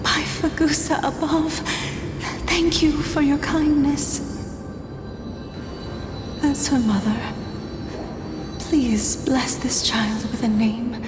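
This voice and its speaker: Slow, echoing, sorrowful doomed noblewoman. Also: sits high, fairly even intonation. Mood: pleading. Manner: solemn, formal, archaic storytelling. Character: sorrowful dying woman of a drowned, doomed city. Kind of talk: dramatic video game acting. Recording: echoing hall reverb under loud music.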